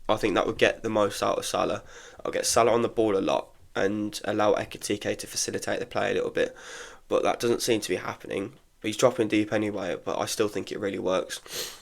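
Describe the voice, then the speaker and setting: A man's voice: lowish voice, steady tone, fairly quick, vocal fry, hardly any pauses. A congested young man giving frank, chatty opinions in a casual solo football review, full of restarts. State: congested from illness. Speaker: football podcaster.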